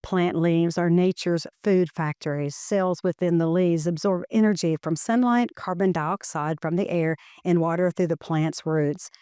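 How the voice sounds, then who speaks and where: A woman reads a children's educational picture book aloud in measured, deliberate phrasing. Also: lowish voice, fairly even intonation, mellow, clean tone, dry recording, clean studio sound.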